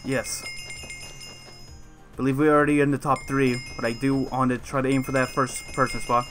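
A man's voice: medium pitch, little pitch movement, normal speed, natural voice. A man playing a dry, evasive adult guardian in an improvised game roleplay, understated and hesitant. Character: adult male guardian, dry and evasive, minding two teens. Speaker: roleplay actor in a Minecraft series. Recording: music and chimes behind the voice.

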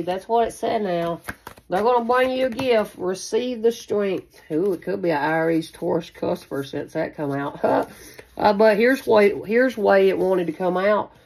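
A woman's voice: medium pitch, a little hiss or hum, low-quality recording. A woman giving a casual, improvised tarot reading in a rambling, repetitive, folksy way, swearing now and then. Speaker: tarot reader hosting a spiritual channel. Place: small indoor room at a card table.

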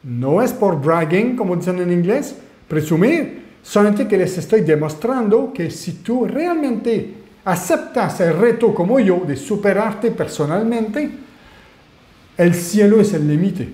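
A man with a French Canadian accent, a native French speaker, giving an animated webinar presentation as an online marketing mentor and asking his audience questions. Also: sits high, unhurried, reverberant.

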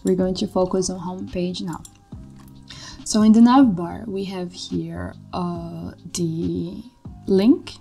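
A young woman from Brazil with a Brazilian Portuguese accent, a native Portuguese speaker, walking through her code on a screen share as a tutor in a relaxed, halting way with many fillers. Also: fairly low pitch, vocal fry, soft background music. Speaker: coding tutor. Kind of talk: screen-share coding walkthrough. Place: indoor room.

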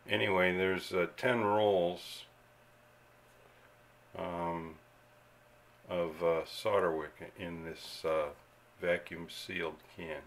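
A man's voice: deep voice, fairly even intonation, slow pace, pauses often. A man narrating a casual hands-on demonstration as a hobbyist, unscripted and laid-back. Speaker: radio hobbyist host. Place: indoor home radio workbench.

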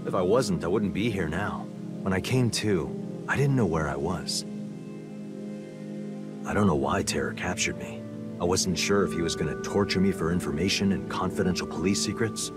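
Low-voiced deadpan special agent. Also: male voice. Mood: dry, teasing. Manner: deadpan, dryly joking. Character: deadpan, wisecracking male special agent, cool and laid-back. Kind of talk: video game voice acting.

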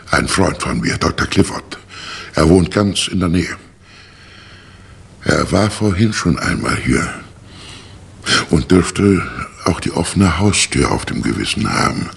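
Radio crime drama professor: gravelly, deep-set, formal, easily affronted. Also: male voice, a bit bright, heavily acted. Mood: formal, explaining. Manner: formal, indignant, hesitant 'äh'. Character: testy, startled male professor with an organ. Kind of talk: 1960s German radio crime drama acting.

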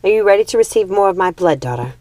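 A woman's voice: medium pitch, expressive, fast, darkish tone, dry recording, natural voice. Woman acting a menacing, imperious witch queen mother. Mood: intense, possessive. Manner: commanding, theatrical.

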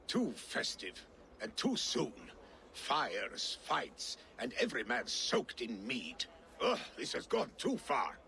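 Creaky, swooping, exasperated Viking. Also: male voice, mid-range voice, huge pitch swings, slow pace, pushed and bright, slight background noise, full character voice. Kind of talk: dramatic video game acting.

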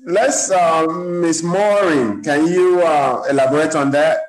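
A man's voice: fairly high pitch, fairly even intonation. Man moderating a video call, roomy sound. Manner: measured, polite, asks questions by name. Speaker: guest moderator. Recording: echoey room over a video call.

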